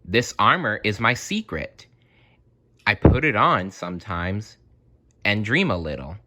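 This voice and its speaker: A man voicing a kind, wistful storybook court jester, speaking in a gentle, confiding manner. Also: on the low side, animated, a little slow.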